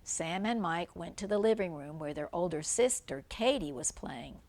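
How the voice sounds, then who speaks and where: A woman's voice: lowish voice, animated, normal speed, a little mellow. An older woman reading a children's picture book aloud as a grandmother would, stopping to point things out simply. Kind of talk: read-aloud storybook narration with asides about the pictures.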